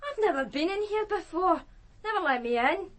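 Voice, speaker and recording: A woman's voice: very high-pitched, lively intonation, moderate pace. An actress playing a naive, defensive young Glasgow woman in a naturalistic radio drama, speaking colloquially. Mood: curious, chatty. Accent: Scottish, Glasgow.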